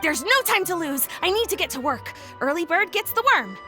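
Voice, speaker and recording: Young female inventor, extremely high voice, excitable, melodramatic, earnest, anime game, music behind. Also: a little fast, heavily acted. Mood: excited, hurried. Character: dramatic, excitable young female inventor, small-time engineer.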